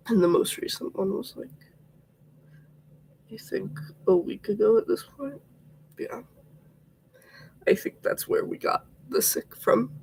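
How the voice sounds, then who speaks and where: Congested young man, high and gravelly. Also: slight background noise, rough recording. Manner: chatty and rambling, often dropping to a whisper. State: congested, sick. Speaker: vlogger. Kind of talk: casual get-ready-with-me livestream chat.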